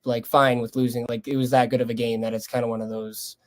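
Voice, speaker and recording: A young Canadian man speaks in a mid-pitched voice as a guest in a casual sports interview. His phrasing is loose and conversational, and he comes across as earnest. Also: little pitch movement, fast. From Lethbridge, Canada. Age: young adult. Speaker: college baseball player being interviewed.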